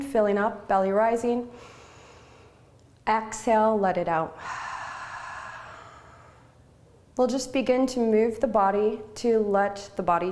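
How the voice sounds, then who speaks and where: A woman yoga instructor leading a guided relaxation in short, measured, soothing phrases with pauses between them. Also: medium pitch, a little mellow.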